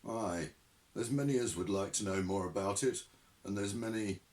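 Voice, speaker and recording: A man voicing a gruff, irascible old male witch hunter who rumbles and bellows in a testy, theatrical way. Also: normal speed, darkish tone, close to an everyday voice. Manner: testy, theatrical, drifting regional accent.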